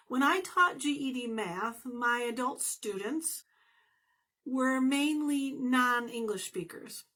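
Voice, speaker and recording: Encouraging woman vlogger, slightly high voice. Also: bright, forceful tone. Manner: earnest, encouraging, with playful asides. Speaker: motivational vlogger and former math teacher. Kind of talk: motivational talk to camera.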